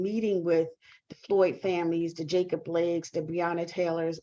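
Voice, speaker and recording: A woman giving reflective interview answers as a guest, speaking earnestly and haltingly with repeated words. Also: lowish voice, darkish tone, lo-fi sound. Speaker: talk show guest, performing artist.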